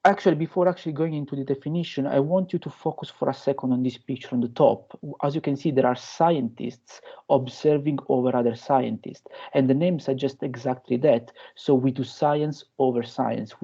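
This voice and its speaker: A young adult man with an Italian accent giving an online academic lecture, pausing often with fillers and restarts. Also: medium pitch, fairly even intonation, warm tone, lo-fi sound. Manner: hesitant, many fillers and restarts. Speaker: guest lecturer. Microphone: video call.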